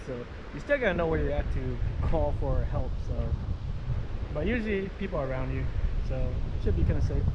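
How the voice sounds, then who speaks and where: Male vlogger, higher-than-average voice, informal off-the-cuff demo delivery, windy and noisy outdoor action-camera sound. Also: lo-fi sound. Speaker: vlogger demonstrating marine VHF radios. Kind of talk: casual, improvised product demonstration. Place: outdoors by the water. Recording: windy outdoor recording with water noise.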